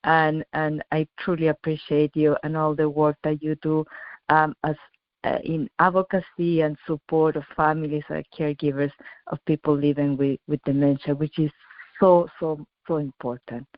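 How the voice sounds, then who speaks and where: Woman from Chile, middle-aged, lowish voice, earnest, stumbling restarts, dry dull audio. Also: flat delivery, moderate pace, dry recording. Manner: earnest, frequent restarts and repeated words. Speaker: engineer and company founder. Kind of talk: radio interview guest.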